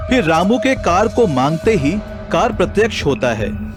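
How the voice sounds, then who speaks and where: A man's voice: sits high, big pitch swings, normal speed, over music. A man reading scripted storybook narration as the narrator of a tale.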